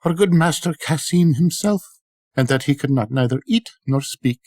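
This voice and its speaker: A man's voice: a little high, expressive, normal speed, a little mellow, dry recording, pitched above the performer's own voice. An older man voicing a clever, bold young slave woman in a storybook, coaxing and secretive with a pleading manner. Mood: feigned sorrow. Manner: sighing, pleading storybook speech.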